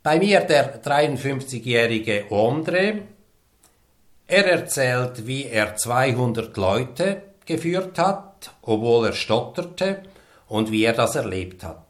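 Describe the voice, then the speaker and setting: A man's voice: mid-range voice, big pitch swings, slow, roomy sound. A man with a Swiss accent, hosting a podcast and asking his guest questions in a friendly way. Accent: Swiss German. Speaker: podcast host. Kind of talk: podcast interview.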